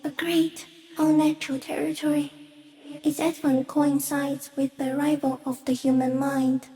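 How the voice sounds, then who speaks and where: Giant stick insect from a video game, really high soft mellow voice, slow n solemn, gentle, kinda cryptic. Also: female voice, little pitch movement, creaky. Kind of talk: video game character acting.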